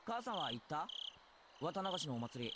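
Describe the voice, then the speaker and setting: A man's voice: a little high, big pitch swings, fast, over a noisy crowd. A man voicing a casual, caring teenage boy in a Japanese visual novel, offhand and boyish. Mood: casual concern. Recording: game audio under loud insect noise.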